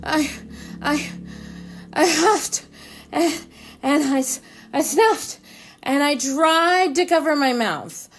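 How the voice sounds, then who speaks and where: A woman voicing a storybook wolf, male, chatty and falsely innocent, with dramatic stammering and drawn-out words. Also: sits high, animated, voice pushed up, exaggerated voice. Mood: dramatic, building up. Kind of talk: animated children's storybook reading.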